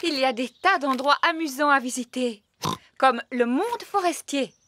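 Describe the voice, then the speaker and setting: A woman's voice: high-pitched, lively intonation, normal speed, bright, forceful tone, a little hiss or hum. A woman playing a sensible, patient mother pig who explains things reasonably in a preschool cartoon dub.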